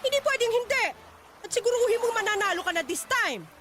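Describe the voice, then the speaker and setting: A domineering middle-aged stage mother, voiced by a woman in an audio drama, her voice very high and full of wide, animated swings. She scolds and waves away every objection. Steady background noise sits under the recording. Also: fast talker, full character voice. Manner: bossy, scolding, dismissive.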